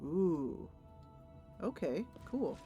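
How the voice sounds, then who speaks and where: An enthusiastic woman streams a fantasy roleplaying game at an unhurried pace. She moves between thinking aloud and storybook-like dramatic narration, with faint music underneath. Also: on the low side, very animated, dark tone, dull audio. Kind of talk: gameplay commentary mixed with dramatic narration.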